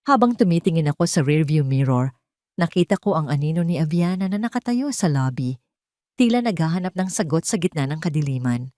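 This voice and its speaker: A woman's voice: deep voice, lively intonation, a little fast, dark tone, no echo, clean studio sound. A woman reading a dramatic first-person story as an audio narrator.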